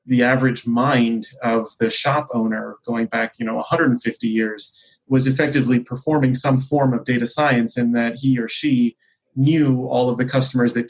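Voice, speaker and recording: A man speaking as an executive guest on a podcast, explaining things in a conversational, discursive and measured way. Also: mid-range voice, low-quality recording.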